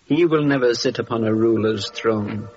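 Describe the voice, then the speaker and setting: An actor playing a solemn, portentous Persian astrologer magus in an old radio drama. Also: male voice, mid-range voice, moderate pace, darkish tone, faint music behind, lightly acted. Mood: grave foreboding. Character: grave Persian magus, male astrologer foretelling doom. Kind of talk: old-time radio acting. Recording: muffled noisy vintage radio recording.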